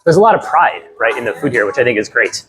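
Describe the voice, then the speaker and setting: A young American man, a guest talking about his move abroad in a lively, upbeat way with lots of fillers. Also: medium pitch, fast talker. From United States. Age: young adult. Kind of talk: casual interview.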